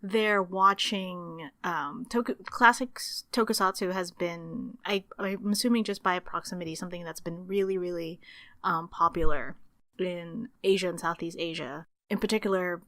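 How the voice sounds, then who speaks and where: A woman in her thirties from the Philippines, a podcast guest telling her story in a casual, animated way, laughing often and acting out her past thoughts. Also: fairly low pitch, moderate pace, no echo.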